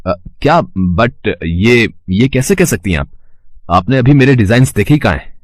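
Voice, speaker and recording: A man's voice: medium pitch, expressive, moderate pace, no room sound, studio quality, close to an everyday voice. A man acting an arrogant, short-tempered fashion designer in a dramatised moral story, imperious and mixing English into his Hindi. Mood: taken aback.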